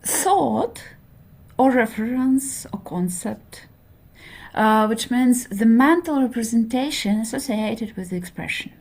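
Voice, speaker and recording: Slow, hesitant woman lecturing. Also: fairly high pitch, expressive, darkish tone. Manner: hesitant, many fillers, checks in with okay. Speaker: linguistics lecturer. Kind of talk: introductory university lecture.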